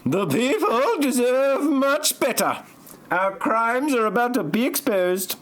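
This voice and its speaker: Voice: mid-range voice, lively intonation, takes it slowly. An actor voicing a disgraced congresswoman in an amateur parody audio play. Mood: remorseful. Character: high-voiced congresswoman resigning in disgrace.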